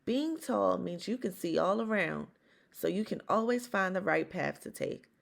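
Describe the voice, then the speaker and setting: A woman plays a folksy storybook uncle, full of jokes and kind encouragement. The delivery is gravelly and homespun. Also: lowish voice, expressive, normal speed. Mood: encouraging. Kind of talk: storybook read-aloud.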